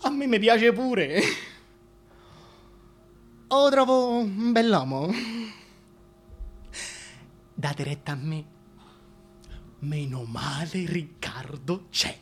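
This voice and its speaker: A man's voice: high voice, extremely slow, long pauses, slight background noise. An actor with a Roman accent playing a gossipy, indignant working-class supporter of the king in a comic stage monologue, colloquial and exclamatory. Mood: exasperated, fond.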